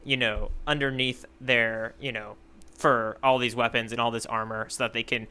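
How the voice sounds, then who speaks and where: A man's voice: mid-range voice, little pitch movement, normal speed, pushed and bright, smooth. A man co-hosting a podcast, chatting casually and thinking aloud as he reacts to a story. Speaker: podcast co-host.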